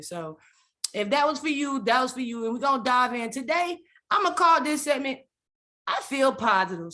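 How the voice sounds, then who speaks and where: Energetic woman podcast host, hyped. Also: on the high side. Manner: energetic, hyped, repeats phrases, stumbles on names. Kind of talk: casual podcast hosting with prayer.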